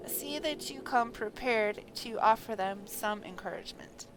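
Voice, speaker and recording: A wry, cynical rogue man from a fantasy game, acted out by a woman. The delivery is dry and slow in a lightish high voice, with noticeable noise behind.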